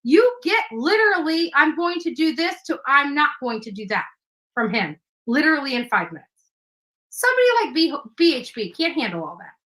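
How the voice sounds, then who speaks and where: Bright high woman, blunt and cussing. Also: very animated, moderate pace, rough recording. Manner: blunt, emphatic and profane. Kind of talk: casual talk in a played clip.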